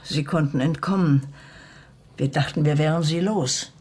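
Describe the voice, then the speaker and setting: A woman's voice: sits low, moderate pace, darkish tone, husky. A woman playing a bitter, grieving mother in a German Western radio play, recounting her past in a grave, emotional way. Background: music.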